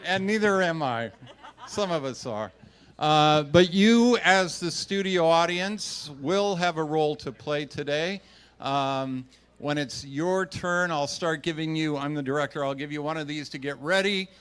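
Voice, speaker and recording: Guy directing a live radio play, smooth clear voice, a bit high, playful with the crowd. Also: unhurried, a bit bright. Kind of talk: addressing a studio audience, directing cast. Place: live stage with microphones.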